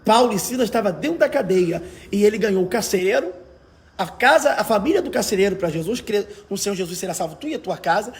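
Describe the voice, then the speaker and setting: A man with a hoarse, raspy voice and a Brazilian accent, a pastor preaching informally and emphatically on a livestream. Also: high voice, lively intonation, quick pace. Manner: emphatic, exhorting, colloquial, with rhetorical questions. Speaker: evangelical pastor.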